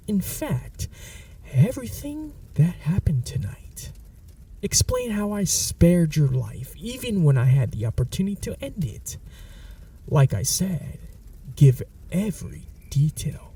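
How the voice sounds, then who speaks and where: A man's voice: a little high, warm tone, far from the mic, exaggerated voice. A man voicing a menacing, possessive male vampire in an ASMR audio roleplay, speaking in a whispery, mocking, threatening way. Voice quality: whispery, gravelly.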